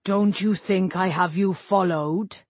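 Female narrator as hot-tempered ageing king, gruff and husky, commanding, rustic turns of phrase, fantasy audiobook. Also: lowish voice, steady tone, takes it slowly, a little mellow, no echo, full character voice. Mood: gruff, accusing. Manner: rustic dialect words, blustering, commanding. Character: massive grey-haired warrior king, gruff, domineering, hot-tempered father.

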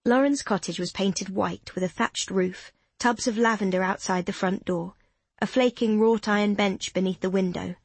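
A woman narrating an audiobook in an even, descriptive, unhurried way, close to a studio mic. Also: medium pitch, slightly rough, dry recording.

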